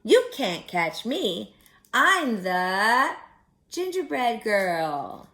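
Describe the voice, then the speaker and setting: Storybook gingerbread girl, played by a woman: high, boastful, taunting, sing-song rhymes drawn out slowly. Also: very animated, pushed and bright, exaggerated voice. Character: cheeky, boastful little gingerbread cookie girl, taunting her chasers.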